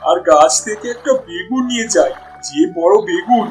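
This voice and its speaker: A man's voice: sits high, expressive, moderate pace, faint music behind, poor audio. A man voicing a cheerful, doting village husband and father in a cartoon. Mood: astonished, pleased. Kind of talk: Bengali cartoon voice acting.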